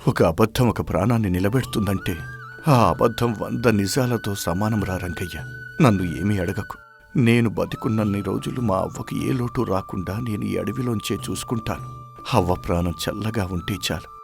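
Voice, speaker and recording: A man's voice: fairly low pitch, moderate pace, creaky. A man playing a sick, guilt-ridden village farmhand who hides his suffering in a dramatized moral story, anguished and heavy-hearted. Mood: resigned, pleading. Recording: music behind the voice.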